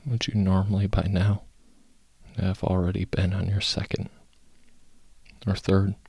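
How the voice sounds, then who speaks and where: A man guiding a meditation in a calm, unhurried, soft-spoken way, with long pauses and a husky, gravelly voice. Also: deep voice, dry recording. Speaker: guided meditation teacher. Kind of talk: guided meditation, slow instructional narration.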